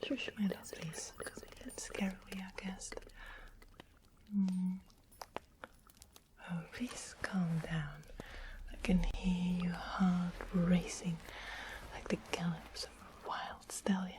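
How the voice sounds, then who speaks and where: A woman's voice: on the low side, steady tone, slow, long pauses, noisy background. A woman playing a sweet-talking, sinister witch in a whispered ASMR fantasy roleplay, soothing and coaxing as she goes. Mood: soothing, sly.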